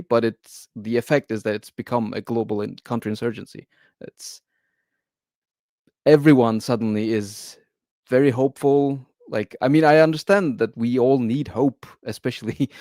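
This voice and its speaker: A man's voice: mid-range voice, warm tone, no room sound, studio quality. A man from Turkey, a guest on a livestream panel, speaking casually with many fillers.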